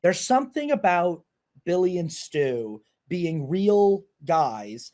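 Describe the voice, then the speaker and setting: Higher-voiced, mellow man talking film. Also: sing-song, slow pace. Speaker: film podcast host. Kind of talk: casual film discussion.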